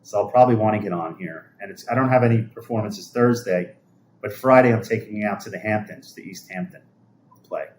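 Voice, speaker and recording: Low-voiced New man in his fifties. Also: brisk, a little mellow, slight background noise, low-quality recording. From New York City, USA. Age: 50s. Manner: rambling, conversational, trailing off. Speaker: livestream host. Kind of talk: casual livestream chat, answering viewer comments.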